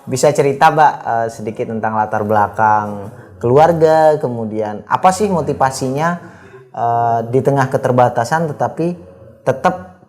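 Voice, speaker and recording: A man's voice: mid-range voice, normal speed, darkish tone. A young man from Aceh hosting a casual podcast interview, friendly and rambling with many fillers. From Aceh, Indonesia. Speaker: podcast host.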